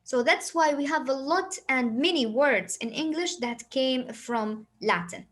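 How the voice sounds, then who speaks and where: A woman's voice: sits high, measured pace, a bit bright. A woman with a Saudi Arabic accent, a native Arabic speaker, explaining a lesson to her students and often asking rhetorical questions. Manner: repeats phrases, asks rhetorical questions, switches to Arabic. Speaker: teacher.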